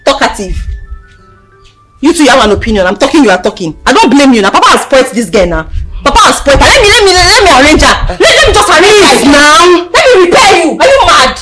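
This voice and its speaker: Casting: outspoken young village daughter, film drama. Female, Nigerian accent, high-pitched, scolding, repeats herself for emphasis. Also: sing-song, fast. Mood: angry, scolding. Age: young adult. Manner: insistent, repetitive, emphatic. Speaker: actor. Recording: background music under the dialogue.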